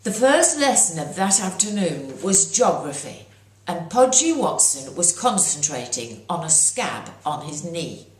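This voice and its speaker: A woman reading her own children's story aloud as its author, in an expressive narrating style. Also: fairly low pitch, unhurried. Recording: roomy echo.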